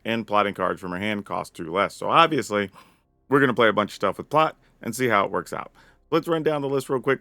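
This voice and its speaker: A man with a raspy voice giving an upbeat, casual rundown of his card game deck as a channel host. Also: medium pitch, fairly bright tone, no echo. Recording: dry close mic with faint music.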